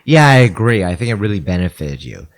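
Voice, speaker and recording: Man, podcast co-host, very low voice, animated pitch, casual opinionated talk. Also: brisk. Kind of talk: casual chat.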